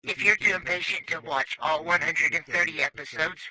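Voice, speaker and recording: A man's voice: on the high side, normal speed, pushed and bright, no echo, poor audio. A man announcing in a dramatic, scripted serial style. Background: music.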